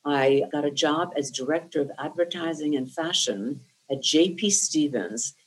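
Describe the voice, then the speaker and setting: A woman's voice: low-pitched, normal speed, rough recording. Reflective woman in her sixties recounting her life. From New Jersey. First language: Lithuanian. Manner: articulate, reflective storyteller. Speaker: interviewee. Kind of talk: oral history interview.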